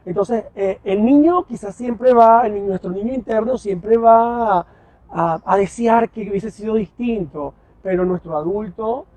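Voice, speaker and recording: A man, a guest therapist on a podcast, explaining his ideas slowly and reflectively and often checking in with "right?". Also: high-pitched, a little mellow, no echo. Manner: reflective, explains slowly with many "¿verdad?" tags. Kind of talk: podcast interview. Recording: dull lo-fi sound with faint noise.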